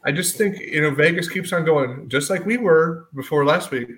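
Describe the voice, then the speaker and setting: A man's voice: a little high, moderate pace, fairly bright tone. A man co-hosting a sports podcast, chatting casually in a blunt, bantering way. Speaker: podcast co-host.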